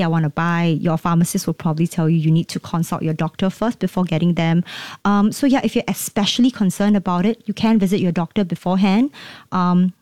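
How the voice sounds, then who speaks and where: Chatty woman, dark dry voice. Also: lowish voice, no room sound. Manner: chatty, many fillers. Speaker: travel guest. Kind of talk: casual interview answers.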